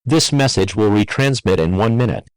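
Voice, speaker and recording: A man's voice: on the low side, lively intonation, moderate pace, dry recording, studio quality. A man's synthetic-sounding voice reading a scripted emergency alert in a formal, impersonal way.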